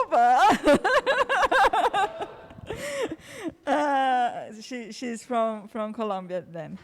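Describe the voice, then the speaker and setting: A woman's voice: fairly high pitch, smooth. Chatty woman introducing a guest speaker. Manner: warm, chatty, laughs between remarks. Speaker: course host introducing a speaker. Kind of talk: casual spoken introduction. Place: lecture room.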